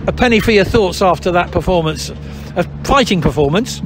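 A man's voice: lively intonation, fairly quick. A man asking casual questions as a football club's post-match interviewer. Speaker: club interviewer. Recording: noisy outdoor recording with ambient rumble.

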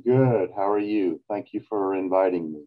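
An older man explaining as an expert guest, halting and deliberate, over a video call. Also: low-pitched, narrow, tinny audio. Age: 60s or older. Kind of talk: interview explanation. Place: small indoor office. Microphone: video call webcam.

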